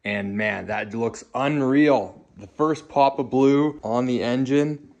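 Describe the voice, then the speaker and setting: A North American man hosts a casual build vlog in a voice of average pitch. He talks informally to his viewers, with an upbeat manner and plain everyday phrasing. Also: takes it slowly, vocal fry, a little mellow, dull audio. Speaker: host of a truck build channel.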